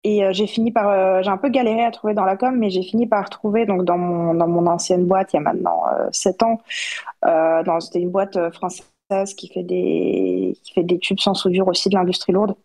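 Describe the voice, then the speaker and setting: A woman's voice: lowish voice, fairly even intonation, a little fast, mellow. A woman, answering casually as a podcast guest. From born in Russia, grew up in Paris, France. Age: 30s. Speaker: podcast guest, self-published author.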